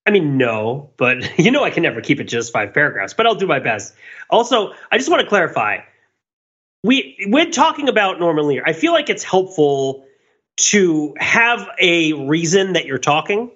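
Rambling higher-voiced man, slightly dull audio. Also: very animated, normal speed, fairly bright tone, slightly rough. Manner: rambling, self-interrupting, checks in with "right?". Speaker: podcast co-host. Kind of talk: casual analytical discussion.

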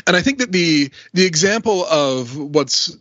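A man in a casual, analytical panel discussion, animated and opinionated, talking in asides on a slightly dull recording. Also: a little high, a little slow, no echo. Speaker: podcast panelist.

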